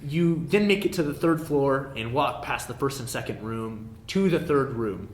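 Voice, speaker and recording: A man narrating a casual tabletop game as game master in a halting, conversational way. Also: expressive. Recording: roomy echo, rumbling background noise.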